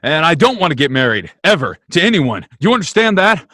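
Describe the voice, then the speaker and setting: A man's voice: fairly high pitch, sing-song, fast talker, bright, forceful tone, no room sound, studio quality, full character voice. A man playing an earnest, hot-tempered young small-town man in a radio drama, emphatic and impassioned. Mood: defiant. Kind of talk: old-time radio drama acting.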